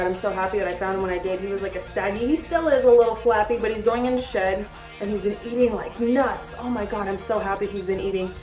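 A young woman in her twenties chatting casually in a vlog, gushing about her pet snakes and breaking into high baby talk. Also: far from the mic. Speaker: reptile keeper vlogger. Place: small bedroom reptile room indoors. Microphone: camera a little way off. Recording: roomy echo, voice a bit off-mic, faint music.

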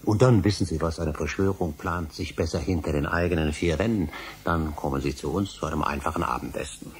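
A man's voice: extremely low pitch, fairly quick, slight background noise. An actor playing an obsessive middle-aged male scholar in a German radio drama, speaking emphatically and rhetorically. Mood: courteous, confiding. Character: obsessive older male scholar, insistent and indignant.

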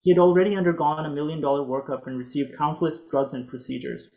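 A man in his thirties whose first language is Urdu, reading a prepared talk in a measured, earnest way over a video call from a home office. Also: fairly high pitch, fairly even intonation, vocal fry, a little mellow, dull audio. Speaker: physician presenter.

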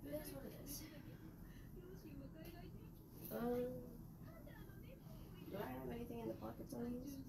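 A young woman rambles casually while sorting laundry, her voice gravelly with fry. She is recorded by a camera mic across a small bedroom, with a clear hiss of noise underneath. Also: on the high side, fairly even intonation, brisk. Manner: rambling, chatty, talking to herself while doing laundry. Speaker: vlogger. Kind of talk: casual vlog narration. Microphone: camera mic at a distance.

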